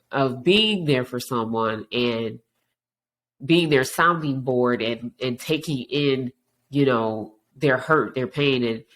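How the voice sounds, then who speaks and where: A woman's voice: a bass voice, a bit bright. A woman hosting a podcast in a frank, casual monologue, her voice stuffy and congested from allergies. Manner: frank, conversational, many restarts. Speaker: podcast host.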